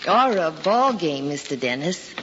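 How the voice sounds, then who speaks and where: A proper, gracious lady teacher in an old radio play. She is genteel and polite, with very lively intonation. The muffled recording echoes like a hall with faint hiss. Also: sits low, measured pace. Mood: bright, coaxing. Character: gracious, proper young lady schoolteacher, quietly determined. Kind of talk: old-time American radio drama acting.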